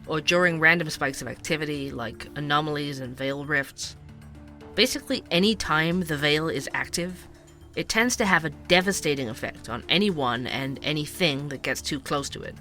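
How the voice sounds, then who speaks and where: A man's voice: fairly high pitch, moderate pace, bright, forceful tone, soft background music. An actor playing a curious, chatty male podcast host, conversational and wry in an explanatory way, in a scripted audio drama. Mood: measured, explanatory. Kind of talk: scripted fiction podcast narration and interviews.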